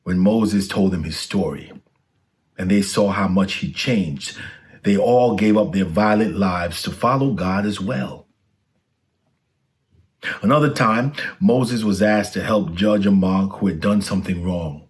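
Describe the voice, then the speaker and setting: A man's voice: low voice, a little slow. A man reading a children's storybook aloud as a scripted narration.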